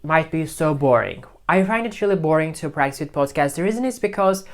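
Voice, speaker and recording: An English speaker talks through his learning routine in a relaxed vlog. He restates each point two or three ways as he works out how to say it, and his tone stays friendly and unhurried. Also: fairly high pitch. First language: Turkish. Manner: repeats and rephrases himself while explaining. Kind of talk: casual teaching vlog.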